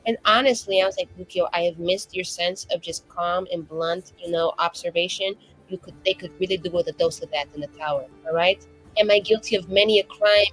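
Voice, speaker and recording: A woman's voice: on the low side, steady tone, brisk, soft background music, poor audio. A woman playing a tabletop game, rambling through in-character banter with profanity and giggles. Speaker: player voicing a mage character.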